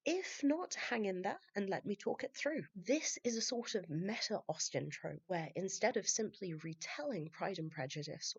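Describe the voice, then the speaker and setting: A woman's voice: mid-range voice, gravelly, husky, highs cut off. A woman hosting a podcast, sounding congested and nasal from illness, mixing a read summary with chatty, rambling asides. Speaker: podcast host. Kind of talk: partly scripted podcast monologue.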